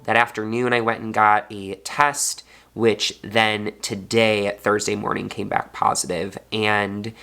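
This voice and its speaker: A man's voice: lowish voice, sharp tone. A young man, a fourth grade teacher, talking earnestly in a personal vlog, sounding congested. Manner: earnest, heartfelt.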